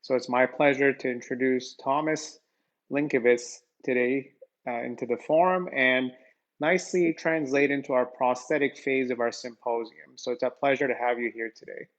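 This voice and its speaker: Mid-pitched man hosting a symposium. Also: fairly even intonation, normal speed, highs cut off. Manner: complimentary, polite. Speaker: symposium moderator and host. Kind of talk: introducing speakers at online symposium.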